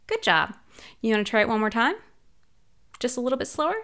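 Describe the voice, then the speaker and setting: A woman's voice: fairly high pitch, expressive, brisk, noisy background. A young woman librarian leading a children's storytime, talking cheerfully in a sing-song way to young listeners from a small room at home. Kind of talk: children's storytime with songs and read-aloud. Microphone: large studio mic on a boom arm. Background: ukulele.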